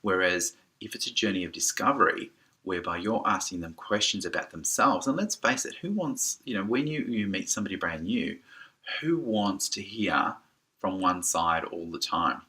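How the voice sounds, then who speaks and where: A man's voice: sits low, animated, moderate pace, bright, forceful tone. A man giving an informal coaching talk as a business educator, speaking in an earnest, emphatic and conversational way.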